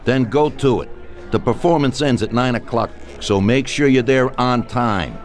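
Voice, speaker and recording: A man plays a ruthless mob boss in a crime game. He speaks at a middle pitch, blunt and authoritative, with faint music behind him. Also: normal speed, natural voice. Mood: stern, commanding. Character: commanding, ruthless male mafia boss giving orders. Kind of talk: crime game cutscene acting.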